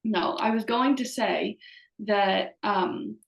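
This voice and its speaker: A woman's voice: mid-range voice, slow pace, gravelly. Echoey young American woman, chatting casually. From United States. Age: early twenties. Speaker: podcast co-host. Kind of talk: casual chat between friends. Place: remote call from an echoey indoor room. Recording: roomy, echoey video call audio.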